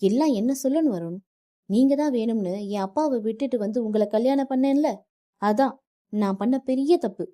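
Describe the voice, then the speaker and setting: A woman voicing an angry young wife who shouts at her husband and later pleads through tears. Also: medium pitch, expressive, unhurried, long pauses, dry recording, studio quality, voice pushed up, close to an everyday voice. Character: young wife, hurt and defiant.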